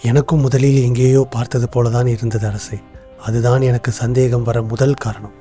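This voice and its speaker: A shrewd royal minister from an animated folktale, acted by a man, with a very mellow, dark voice. He lays things out formally and deliberately, barely varying his pitch. Faint music plays behind him. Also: natural voice. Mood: grave, revealing. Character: shrewd, composed male royal minister exposing a plot. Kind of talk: animated moral story dialogue.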